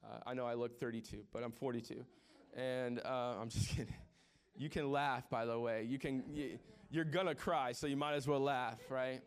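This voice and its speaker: A man's voice: mid-range voice, steady tone, moderate pace, smooth. A man in his forties preaching a sermon as pastor, conversational and joking with the congregation, often repeating words as he restarts. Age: 40s.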